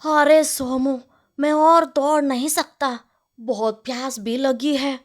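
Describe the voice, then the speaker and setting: A woman's voice: high voice, steady tone, takes it slowly. A woman voicing a fussy, bossy male village landlord in a storybook tale, demanding and curt in manner. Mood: alarmed, exhausted. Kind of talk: storybook character voice in narrated tale.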